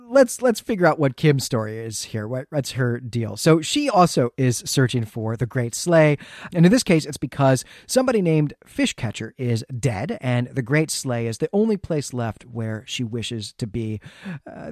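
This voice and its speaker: A man's voice: mid-range voice, very animated, moderate pace, darkish tone, dry recording, studio quality. A man hosting a podcast, talking through a literary recap in a careful, explanatory and emphatic conversational way. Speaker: podcast host.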